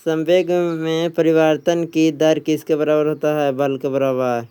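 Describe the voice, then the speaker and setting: A man's voice: a little high, flat delivery, measured pace, dark tone, smooth. A man working as an exam coach, reading quiz questions and answers aloud in an instructional way, often repeating them.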